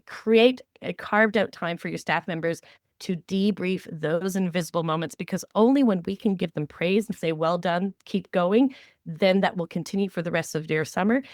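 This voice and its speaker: A woman's voice: fairly low pitch, no echo, studio quality. A woman co-hosting a podcast in a conversational style, earnest and appreciative in the way she talks. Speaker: podcast co-host.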